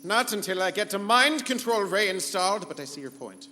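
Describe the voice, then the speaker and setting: A man's voice: high voice, expressive, a little fast. A man playing a pompous, condescending rogue ship computer with grand formal speech in a live pulp sci-fi radio comedy. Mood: menacing. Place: live convention stage. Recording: echoey hall, noise and hum.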